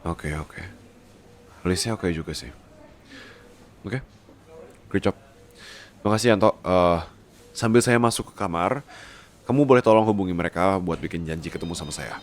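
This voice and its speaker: A man acts out a rich, domineering young boyfriend in an ASMR roleplay. His voice is very low, with a scolding, insistent manner that stays doting and protective. He mixes in English words, and ambient noise sits behind him. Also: expressive, normal speed, long pauses. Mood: firm, businesslike.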